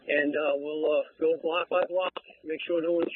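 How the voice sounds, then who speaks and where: Husky, high-pitched male commander on radio. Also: little pitch movement, moderate pace. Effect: two-way radio. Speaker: fire command officer. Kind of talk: radio instructions. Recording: phone-like fire scanner radio audio.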